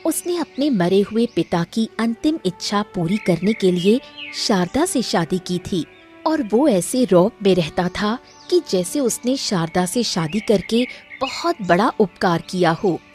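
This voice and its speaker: A woman's voice: mid-range voice, expressive, measured pace, darkish tone, soft background music. A woman reading scripted narration as the storyteller of a moral tale.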